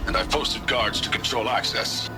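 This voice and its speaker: A man's voice: mid-range voice, moderate pace, lightly acted. An actor playing a stern, cautious male supply master in a video game, giving a formal, clipped military briefing. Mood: officious, warning. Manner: formal, clipped, official report. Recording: music behind the voice.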